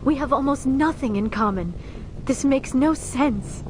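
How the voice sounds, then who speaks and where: A woman's voice: high voice, unhurried. An actress playing a reserved, earnest young alien woman in a video game, speaking formally and precisely. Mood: shy, tender. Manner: formal, precise, few contractions. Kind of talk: video game dialogue acting. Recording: loud background music.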